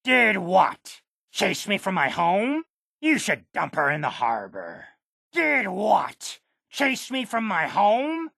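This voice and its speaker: A cantankerous old farmer from a video game. The voice is husky and crackles with fry, swinging widely in pitch as it grumbles. Delivery is slow, stubborn and full of resentment. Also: female voice, wildly swooping pitch, a bit bright, no room sound, studio quality, exaggerated voice. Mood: indignant, bitter. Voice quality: raspy, creaky. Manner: indignant, grumbling. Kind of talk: video game character acting.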